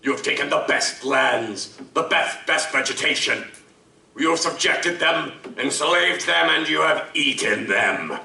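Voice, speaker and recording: A man's voice: fairly high pitch, expressive, measured pace, vocal fry, pushed and bright, heavily acted. A man playing a savage, articulate monstrous killer in a live audio drama, theatrical, grandiloquent and contemptuous. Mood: menacing, philosophical. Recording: roomy live stage, distant mic.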